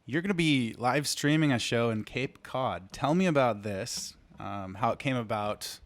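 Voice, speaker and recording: A man's voice: medium pitch, lively intonation, a little slow, no echo. Man hosting a casual, dry-sounding interview. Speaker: music show interviewer and host.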